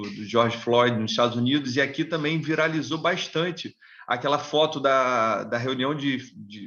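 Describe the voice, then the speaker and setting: A man's voice: mid-range voice, fairly bright tone. A Brazilian man giving an earnest talk on a video call. He often repeats small words as he starts a thought. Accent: Brazilian Portuguese. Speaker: panelist from an immigrant support association. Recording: dull lo-fi call audio.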